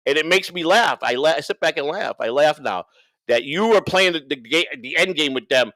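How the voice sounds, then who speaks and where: A man's voice: fairly high pitch, lively intonation, a little fast, pushed and bright, no echo. A man on a livestream, talking off the cuff in a profane, rambling way and repeating himself for emphasis. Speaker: livestream co-host. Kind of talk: unscripted livestream rant and banter.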